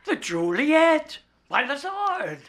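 An actor playing a crusty, wealthy old art collector in an audio drama, blunt, impatient and peremptory. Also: male voice, very high-pitched, very animated, slow, fairly bright tone, full character voice. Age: older.

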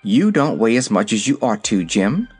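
A man's voice: on the low side, big pitch swings, normal speed, a little mellow, slightly rough, soft background music. A man voicing an earnest, brave, wide-eyed little girl in a storybook reading. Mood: reasoning. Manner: earnest, exclaiming.

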